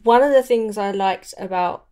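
A woman's voice: medium pitch, moderate pace, mellow. A woman, co-hosting a podcast in a casual book discussion. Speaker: podcast co-host.

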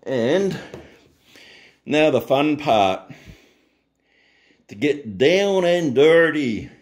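Slow, laid-back man cooking. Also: a little high, roomy sound. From Australia. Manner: relaxed, chatty, jokes at himself. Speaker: home cooking host. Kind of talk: casual cooking tutorial. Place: home kitchen.

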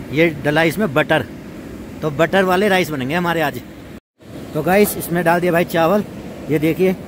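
A man narrates a casual camping vlog in a fairly high voice. He talks informally, as if to friends. Clear river noise runs behind him in the open air. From India. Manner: chatty, informal, addresses viewers as friends. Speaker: vlog host. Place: outdoors at a riverside campsite. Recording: noisy outdoor recording, rushing river. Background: loud ambient river noise.

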